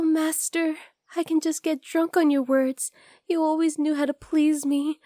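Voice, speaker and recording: A woman plays an ageless female fox spirit with a high, mellow voice, clinging and devoted to her master. She speaks tenderly and very intimately to one listener, in the close, dry sound of an ASMR roleplay. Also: no echo, close to an everyday voice. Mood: yearning, adoring. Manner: soft, tender, intimate, addressing the listener directly.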